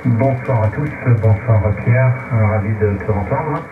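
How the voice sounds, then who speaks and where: A man's voice: medium pitch, measured pace, reverberant, distant mic, phone-quality sound, very noisy. A man who is an amateur radio operator, chatting casually and giving signal reports over shortwave.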